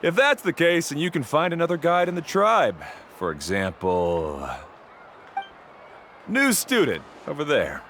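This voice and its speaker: A man's voice: fairly high pitch, big pitch swings, unhurried, a bit bright, slight background noise, heavily acted. A man voicing an arrogant, self-reliant guide in a video game, blunt and condescending in manner. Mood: scornful. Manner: condescending, dismissive. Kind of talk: acted game dialogue.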